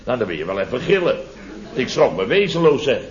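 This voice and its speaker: A man's voice: mid-range voice, sing-song, close to an everyday voice. An actor as a flustered male contractor spins tales of domestic chaos in an old radio comedy. His mid-range voice halts, repeats itself and keeps tagging questions onto statements. The sound is dull and dated. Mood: exasperated. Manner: halting, repetitive storytelling with frequent tag questions. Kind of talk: 1970s radio comedy acting. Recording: dull vintage radio recording.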